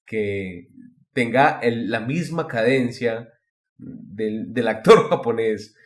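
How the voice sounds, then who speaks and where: A man with a Latin American Spanish accent in a casual podcast discussion, explaining things haltingly and repeating words as he goes. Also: mid-range voice. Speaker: podcast host.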